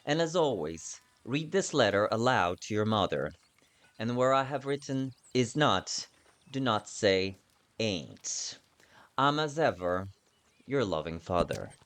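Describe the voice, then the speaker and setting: A man's voice: fairly low pitch, big pitch swings, takes it slowly, a bit bright. A man narrating a game playthrough, switching between casual commentary and reading in-game text aloud. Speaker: gamer narrating own playthrough.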